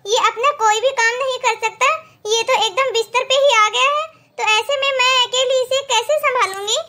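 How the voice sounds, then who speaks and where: A woman acts out a selfish cartoon mother of a grown son. Her voice is extremely high and bright, and she complains melodramatically. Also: fairly even intonation, normal speed. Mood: fretful, complaining. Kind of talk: cartoon moral story acting.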